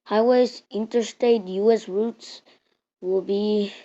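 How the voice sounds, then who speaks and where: Slow, halting female announcer in a roomy space. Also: medium pitch, fairly even intonation, warm tone. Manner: halting, repeats and restarts words. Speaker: hobby narrator of a mock emergency alert. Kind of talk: mock emergency alert announcement, improvised. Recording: muffled, roomy home recording.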